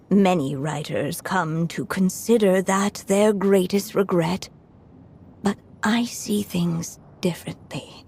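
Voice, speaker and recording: An actress voicing Anya, a frail, aging writer in a game cutscene, speaking softly and slowly with a breathy edge. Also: female voice, mid-range voice, slight background noise. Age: older. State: weak, coughing. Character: old woman writer Anya, frail, wistful, resolved. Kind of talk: story cutscene voice acting. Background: soft music.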